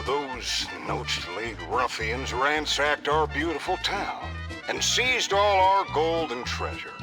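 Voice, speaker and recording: A man voicing a fretful, courteous town greeter in a game cutscene, sighing and dodging questions. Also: medium pitch. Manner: formal, courteous, sighing and evasive. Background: game music.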